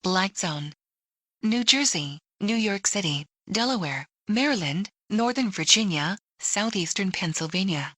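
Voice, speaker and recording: A woman's voice: medium pitch, animated, a little slow, a bit bright, no echo, studio quality. A woman announcing a scripted emergency alert, reading lists and instructions in a clipped, impersonal manner.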